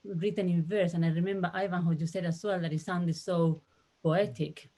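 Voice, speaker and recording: Spanish woman with a low, mellow voice. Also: steady tone. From Spain. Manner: halting, searching for words, frequent "you know". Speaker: translator. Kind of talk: informal panel discussion.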